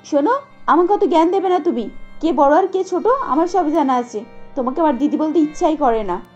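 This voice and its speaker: A woman's voice: high voice, animated, fairly quick, darkish tone, highs cut off, soft background music, pitched above the performer's own voice. A woman voicing a spiteful, rich young sister-in-law in a cartoon story, bossy, impatient and scolding. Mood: haughty, impatient.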